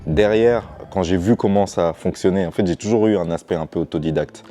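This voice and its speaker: A man's voice: low voice, a little fast, dark tone, soft background music. A man speaking as a podcast guest, explaining things in an informal, conversational way. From France, Paris suburbs, Martinican family. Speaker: podcast guest, financial educator. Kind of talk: casual interview, recounting his path.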